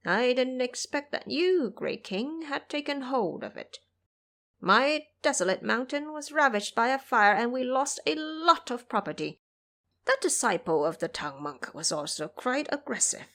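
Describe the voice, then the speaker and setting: A woman's voice: sits high, lively intonation, a little slow, a bit bright, full character voice. A woman voicing a shapeshifting male monkey king who poses as an elderly monk and speaks humbly and politely, in a storybook reading.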